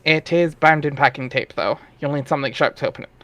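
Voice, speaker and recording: A man's voice: a little high, fast talker, pushed and bright, no room sound, faint music behind. A man narrating an amateur dramatic reading of a webcomic, wry and theatrical, with casual asides.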